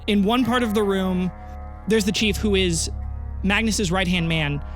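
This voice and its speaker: Game master for a fantasy audio tabletop game, mid pitch, narrating scenes over a music bed. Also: a little slow, fairly bright tone. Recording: music under the voice. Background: background music.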